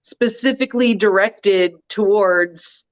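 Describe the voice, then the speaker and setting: A woman with a deep voice co-hosting a casual chat, wry and blunt, full of "like" and "um". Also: slow, lo-fi sound. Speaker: co-host.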